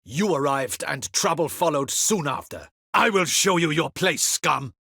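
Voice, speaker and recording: An actor playing a stern, proud, dutiful captain of a ducal guard in a fantasy video game, with a formal, clipped, haughty delivery and a husky voice. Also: male voice, fairly high pitch, expressive, measured pace, pushed and bright, dry recording, clean studio sound, heavily acted. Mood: contemptuous, threatening.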